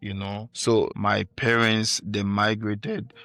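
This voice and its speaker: Gravelly man in his forties. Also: lowish voice, flat delivery, slow, dry recording. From Cameroon. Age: 40s. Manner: warm, attentive listener with constant short affirmations. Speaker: podcast guest. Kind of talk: casual conversational interview.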